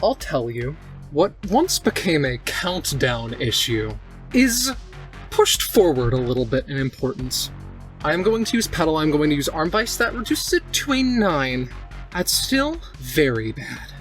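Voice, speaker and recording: A tabletop player with a medium-pitched voice talks through a character's moves and the game's rules. Quiet music sits underneath. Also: big pitch swings, a little slow. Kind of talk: tabletop game talk, narrating character actions.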